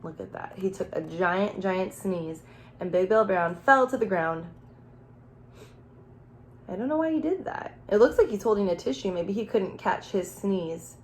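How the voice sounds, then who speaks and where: Woman, children's storybook read-aloud, mid-pitched voice, playful, expressive, teacherly asides and simple questions. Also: unhurried, a little hiss or hum. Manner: expressive, playful, with gasps and exclamations; simple explanations and questions addressed to young children.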